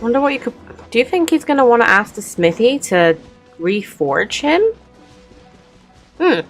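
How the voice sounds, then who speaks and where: A woman's voice: fairly high pitch, sing-song, moderate pace, faint music behind. A woman giving informal, reactive commentary as host of a gaming playthrough.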